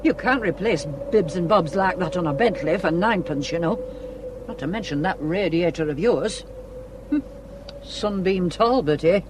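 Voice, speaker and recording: An actress playing a spiteful, grasping old mill owner in a radio drama, with a Northern English accent and a blunt, scolding, sarcastic manner. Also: female voice, fairly low pitch, expressive, normal speed, noisy background, lightly acted. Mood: outraged, blustering. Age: older.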